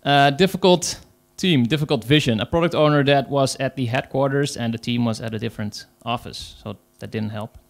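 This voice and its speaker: A man's voice: mid-range voice, fairly quick, gravelly, hardly any pauses. A man with a Dutch accent, speaking English as a second language, gives a conference talk as an agile coach, hesitant, with many fillers. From Netherlands.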